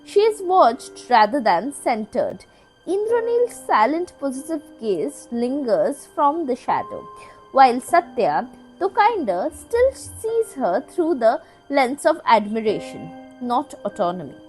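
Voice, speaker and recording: High, expressive woman narrating a scripted essay. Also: low-quality recording. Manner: literary, measured phrasing with deliberate pauses. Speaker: video essay narrator, podcast host. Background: music.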